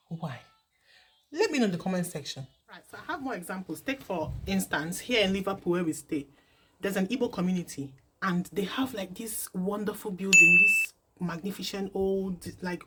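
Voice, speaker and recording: A woman from Nigeria, talking casually to camera as a vlogger from her home, animated and full of repeated rhetorical questions. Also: fairly low pitch, slight background noise.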